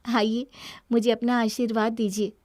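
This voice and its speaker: A woman voicing a gentle, devoted young daughter-in-law with self-respect in a cartoon family story. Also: fairly high pitch, flat delivery, moderate pace, no room sound, studio quality, natural voice. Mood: sweet, respectful. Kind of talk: Hindi cartoon moral-story voice acting.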